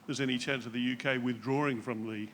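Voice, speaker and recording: A man's voice: medium pitch, fairly even intonation, fast talker, fairly bright tone. A man from the audience asking a question, hesitant and stammering.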